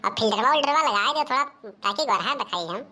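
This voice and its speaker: Rustic Awadhi cartoon village woman, acted by a woman: high, sharp, chatty, insistent, over faint-to-clear background noise. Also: expressive, fast. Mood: eager. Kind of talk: comic cartoon dialogue.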